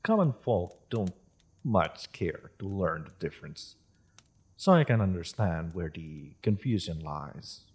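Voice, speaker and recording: A man playing a courteous, wry half-dragon merchant in a fantasy audio roleplay, speaking in a formal, measured, amused manner. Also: sits low, sing-song, darkish tone, slightly rough, heavily acted. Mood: amused, explanatory. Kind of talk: scripted fantasy audio roleplay addressed to listener.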